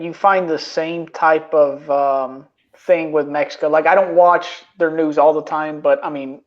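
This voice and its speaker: A person joining a casual podcast conversation, talking in a relaxed, offhand way with fillers. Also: medium pitch, muffled recording.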